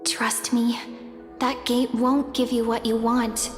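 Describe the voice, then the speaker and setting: A gentle spirit in a video game, speaking slowly and softly, with earnest warnings and a lonely undertone. Her slow lines hang in a long reverb over music. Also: fairly high pitch, flat delivery. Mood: wistful. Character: gentle, lonely young woman spirit of a void. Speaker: game character.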